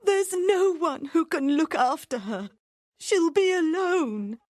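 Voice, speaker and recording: A man voicing a frightened twelve-year-old village girl in a high pleading falsetto, as an audiobook reading. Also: animated, slow pace, no room sound, studio quality, heavily acted. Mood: pleading, crying.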